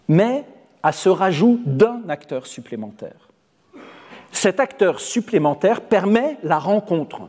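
A man whose first language is Arabic, a theatre director giving a measured, eloquent spoken essay. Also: fairly high pitch, mellow, breathy edge. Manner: measured, eloquent, deliberate phrasing. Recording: long hall reverb.

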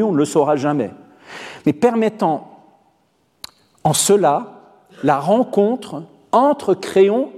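A man whose first language is Arabic, a theatre director giving a measured, eloquent spoken essay. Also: high-pitched, dark tone, slightly rough. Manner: measured, eloquent, deliberate phrasing. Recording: long hall reverb.

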